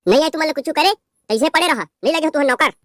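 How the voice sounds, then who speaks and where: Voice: high voice, quick pace, a bit bright, dry recording, studio quality. A performer voicing a spoiled, haughty young daughter-in-law with a Chhattisgarhi accent, scolding and rude in a cartoon. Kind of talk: cartoon voice acting.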